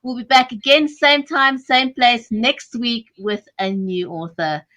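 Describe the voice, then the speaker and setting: A woman's voice: on the high side, steady tone, measured pace, sharp tone. A woman co-hosting a live writers' podcast over a video call, gracious and effusive with her guest and audience. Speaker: podcast co-host.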